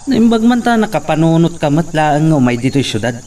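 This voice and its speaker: A man's voice: on the high side, sing-song, fairly quick, a little mellow. A man with an Ilocano accent playing an easygoing relative who pushes carts in the city. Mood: friendly. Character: friendly city relative, hardworking cart pusher. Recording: distant mic with faint noise. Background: crickets and insects.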